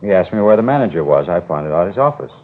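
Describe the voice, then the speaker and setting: Meek bank guard, low voice but talks fast, earnest and deferential, old radio show. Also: male voice, big pitch swings. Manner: deferential, plain-spoken. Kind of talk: 1950s radio drama acting. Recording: old 1950s radio recording, narrow tinny band.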